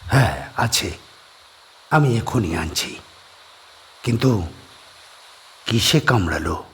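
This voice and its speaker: A man voicing a lonely, secretive middle-aged farmer on an island, speaking low, soft and measured. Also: animated, a little hiss or hum. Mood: concerned, polite.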